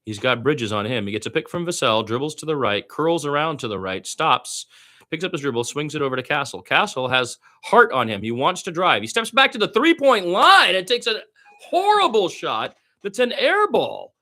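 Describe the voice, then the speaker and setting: A man's voice: fairly high pitch, sing-song, normal speed, pushed and bright. A man doing amateur radio-style basketball play-by-play in short clipped phrases, with opinionated asides as a partisan fan.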